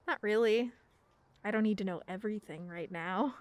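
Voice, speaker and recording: A woman's voice: mid-range voice, moderate pace, a little mellow, no room sound, natural voice. A young woman playing a shy, bookish teenage girl in a radio play, hesitant and dryly self-mocking. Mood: wry.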